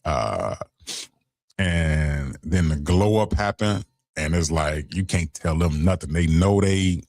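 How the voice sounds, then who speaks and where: A young man hosts a late-night radio show in a relaxed, chatty way. His voice is very low and gravelly, with a lot of vocal fry. The sound is dry and close, with little room echo. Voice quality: deep voice. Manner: laid-back, conversational, giving shout-outs.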